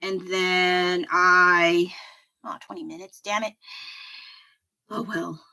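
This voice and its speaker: A woman with a low voice, chatting casually at her home desk while drawing on a livestream, rambling and poking fun at herself with frequent laughs. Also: steady tone, takes it slowly, creaky, sharp tone. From United States. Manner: rambling, self-deprecating, laughs often. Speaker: livestreaming artist.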